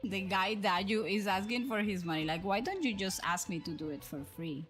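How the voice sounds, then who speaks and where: A woman's voice: medium pitch, normal speed, fairly bright tone. Chatty woman streamer over background music. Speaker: livestream host. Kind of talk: casual gaming livestream commentary, thinking aloud.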